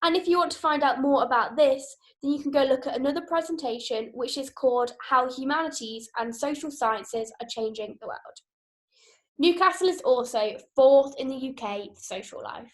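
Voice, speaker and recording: A woman's voice: sits high, moderate pace. A young woman in her twenties, an outreach ambassador, giving an upbeat, enthusiastic talk to prospective students. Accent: non-Geordie British.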